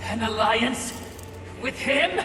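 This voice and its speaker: A woman's voice: fairly high pitch, slow pace. A woman playing a servile, scheming female watcher spirit in a video game, fawning yet indignant. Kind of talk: video game cutscene acting. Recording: echoing hall with music behind.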